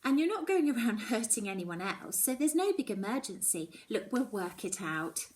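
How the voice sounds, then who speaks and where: A woman voicing a determined, caring but sceptical schoolgirl best friend in a children's audiobook, with a brisk, reassuring manner. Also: on the high side, sing-song, darkish tone. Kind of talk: children's audiobook character dialogue.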